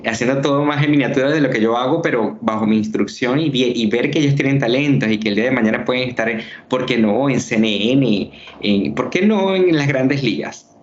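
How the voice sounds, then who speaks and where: A man explains things patiently, like a teacher, pausing on fillers as he goes. His voice sits in an ordinary middle range. The call audio is a little dull, with faint noise behind it. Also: mid-range voice. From Venezuela. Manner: earnest, teacherly, many fillers. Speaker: journalist and university teacher. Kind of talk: explanatory interview answers.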